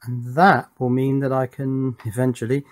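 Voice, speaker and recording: A man's voice: medium pitch, flat delivery, normal speed. A man casually explains hands-on electronics work, thinking aloud in a methodical way. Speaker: electronics hobbyist presenter. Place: indoors at an electronics workbench.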